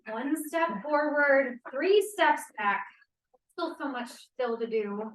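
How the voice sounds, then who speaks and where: A woman's voice: high voice, expressive, takes it slowly, a bit bright, reverberant, slight background noise, heavily acted. A woman acting a guarded but caring young student activist in a stage play table read. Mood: weary. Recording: distant room mic.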